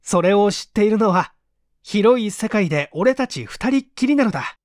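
Guy doing an excited schemer writer in a storybook, high voice, really swoopy, talking his pal into mischief. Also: normal speed, no room sound, clean studio sound. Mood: gleeful, persuasive. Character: scheming male mystery writer, eager, pleased with his mischief. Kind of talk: dramatised storybook reading.

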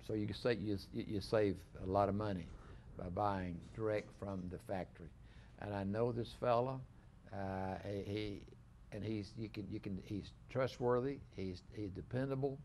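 Older man, gravelly host voice. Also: on the low side, a little mellow, slight background noise. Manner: rambling, halting, many restarts. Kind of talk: casual studio interview. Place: small living-room studio set.

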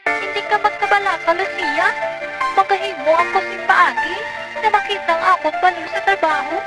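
A woman's voice: extremely high pitch, moderate pace. A young woman playing a regretful, loving mother in a student radio drama. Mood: regretful, tender. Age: young adult. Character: regretful, loving mother finding her courage. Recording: loud music under the voice.

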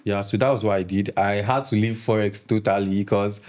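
A man in his twenties from Nigeria, a podcast guest chatting in a relaxed way, often laughing and repeating words as he thinks. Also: fairly low pitch, fairly quick. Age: 20s. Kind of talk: casual interview chat.

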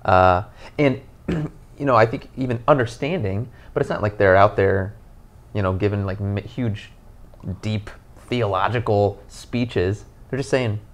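A man in his thirties, a pastor, talking through a passage on a couch in an earnest, animated way, with lots of "you know". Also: lowish voice, measured pace. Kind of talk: casual podcast conversation. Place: living room, on a couch, indoors.

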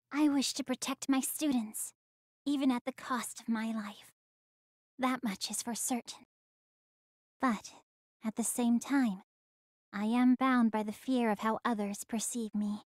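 A woman's voice: fairly high pitch, a little mellow, no echo, studio quality, close to an everyday voice. A woman voicing a proud, solemn demon teacher in a video game, with a formal, grave manner. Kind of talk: anime-style video game voice acting.

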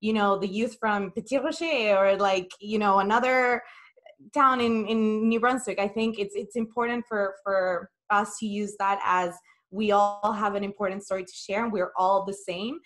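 A young woman from El Salvador speaks in a webinar over a video call. She talks earnestly and fills her pauses with ums, at a medium pitch. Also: steady tone. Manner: earnest, with fillers. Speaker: presenter. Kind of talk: webinar presentation.

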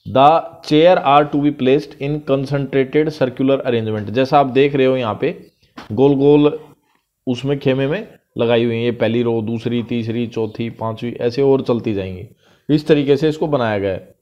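A man's voice: mid-range voice, fairly even intonation, darkish tone, highs cut off. A male math teacher with an Indian accent, talking through a worked problem step by step over a screen recording. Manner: explains step by step, reads English question lines slowly.

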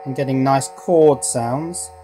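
A man's voice: medium pitch, slow, mellow, faint music behind. A man giving a casual hands-on electronics demonstration, thinking aloud a little hesitantly as he goes. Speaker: electronics hobbyist presenter. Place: indoors at a workbench.

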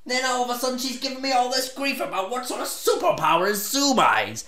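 Man acting as touchy male superhero, exasperated, very varied pitch, indignant, comedy sketch, echoey room. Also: a little fast, heavily acted. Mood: indignant ranting. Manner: ranting, indignant, hesitant when calm.

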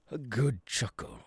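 A male voice actor playing a menacing, giggling, unhinged killer clown monster in a horror ASMR roleplay, raspy and gravelly, sliding between breathy whispers and cackles. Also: mid-range voice, no echo, full character voice. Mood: playful, sly.

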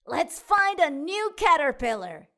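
An eager little boy cat from a picture book, voiced by a woman. The voice is very high and swings up and down, exclaiming with childlike curiosity. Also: big pitch swings, measured pace, bright, forceful tone, exaggerated voice. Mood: excited.

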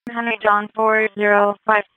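A woman's voice: medium pitch, little pitch movement, normal speed, smooth, narrow, tinny audio. A woman working as a police radio dispatcher, repeating reports in clipped, procedural phrases over a scanner radio. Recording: noisy police scanner radio audio with clicks.

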